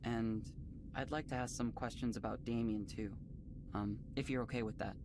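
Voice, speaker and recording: A man's voice: medium pitch, steady tone, background noise, natural voice. Polite, earnest man acting as a tech developer. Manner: courteous, considerate, measured. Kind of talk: visual novel voice acting, conversational.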